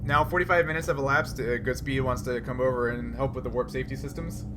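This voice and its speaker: A man runs a tabletop role-playing game in a conversational way. His voice sits at an ordinary pitch. Music plays clearly behind him. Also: steady tone, fast talker. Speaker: game master.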